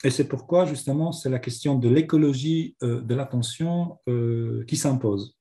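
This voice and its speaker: A man's voice: medium pitch, normal speed, dark tone. A man with a Polish accent and a cold, giving a hesitant academic lecture over a video call, with many fillers. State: has a cold. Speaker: seminar speaker. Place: home office on video call.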